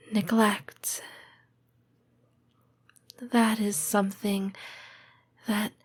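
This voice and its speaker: A woman voicing a tender, lonely lamia girlfriend in a soft, breathy, whispery ASMR roleplay, speaking hesitantly and intimately with long pauses. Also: medium pitch. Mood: lonely, gentle.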